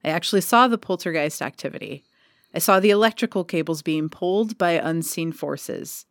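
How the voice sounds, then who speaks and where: A woman's voice: fairly low pitch, dry recording, studio quality. A woman co-hosting a casual podcast, chatting and reading a ghost story aloud, swearing casually. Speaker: podcast co-host.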